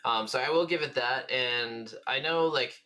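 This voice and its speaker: A man with a bright, crisp-edged voice of average pitch co-hosts a gaming podcast. His delivery is informal and conversational, like chatting with a friend. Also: measured pace. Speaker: podcast co-host. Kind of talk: casual gaming podcast chat.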